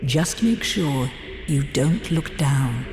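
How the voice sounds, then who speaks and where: A woman's voice: a bass voice, animated, exaggerated voice. Epic fantasy game narrator lady, grave and dramatic, big reverby sound, music behind her. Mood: ominous, measured. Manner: measured, portentous storytelling. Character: grave, dramatic female storyteller of fantasy adventure quests. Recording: roomy, long reverb, music bed. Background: clear background music.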